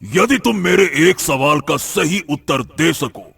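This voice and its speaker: Evil shadow spirit for a cartoon, gravelly n husky, drags out his threats. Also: on the high side, expressive, a bit bright, no echo, clean studio sound, heavily acted. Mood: menacing. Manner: menacing, drawn-out riddling delivery. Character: menacing dark shadow spirit, male, taunting kidnapper of children.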